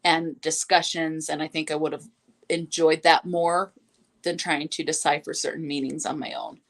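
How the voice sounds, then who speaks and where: A woman with a slightly low voice co-hosts a relaxed book chat. She talks reflectively and a little tentatively, working through her thoughts in an everyday conversational style. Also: moderate pace. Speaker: co-host. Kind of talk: casual book discussion on a live stream.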